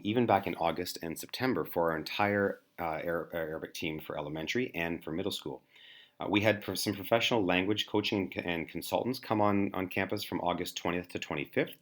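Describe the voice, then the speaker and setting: Low-voiced male school administrator, earnest presenter, stumbles and restarts often. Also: fairly quick. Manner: earnest, many fillers and restarts. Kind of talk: presentation to parents.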